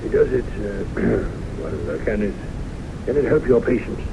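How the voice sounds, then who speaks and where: An actor playing a genteel, inquisitive club gentleman in an old-time radio drama, hemming and hesitant in his polite talk. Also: lowish voice, big pitch swings, a little slow, vocal fry, heavily acted. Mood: hesitant, curious. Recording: muffled, noisy 1970s radio recording.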